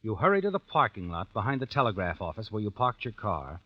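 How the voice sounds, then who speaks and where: An actor playing a knowing, suspenseful narrator who tells an old-time radio drama in the second person. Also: male voice, fairly low pitch, very animated, fast talker, dry recording. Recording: dull vintage radio recording with music.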